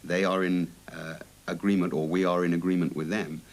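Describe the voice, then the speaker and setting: A man's voice: deep voice, flat delivery, brisk. A man making a formal interview statement, restating his points for emphasis.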